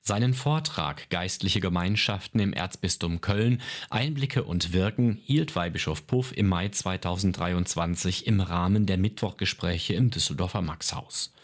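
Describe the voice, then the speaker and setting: A man hosting a church radio podcast, presenting in calm, formal scripted narration. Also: sits low, moderate pace, hardly any pauses. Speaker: podcast host.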